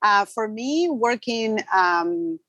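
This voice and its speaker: A woman's voice: medium pitch, lively intonation, slow, fairly bright tone, dry recording. A woman from Argentina, a native Spanish speaker, answering conversationally as a film makeup artist in an interview.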